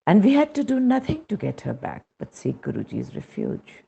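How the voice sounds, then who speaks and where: A man with an Indian accent reads a scripted devotional testimony in a measured, solemn manner, on a dull recording. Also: high-pitched, wildly swooping pitch, creaky, warm tone, a little hiss or hum.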